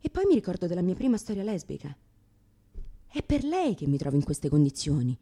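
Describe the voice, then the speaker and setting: A woman's voice: on the low side, big pitch swings, fairly quick, mellow. An actress in a radio theatre monologue playing a brash, sarcastic, exasperated new mother in her thirties. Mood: reluctantly tender, wry. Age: 30s.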